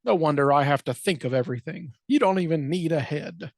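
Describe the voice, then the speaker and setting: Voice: mid-range voice, brisk, no room sound, studio quality, lightly acted. A reader voicing a fretful, self-important turkey. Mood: exasperated, know-it-all. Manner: exclaiming, complaining, theatrical sighing.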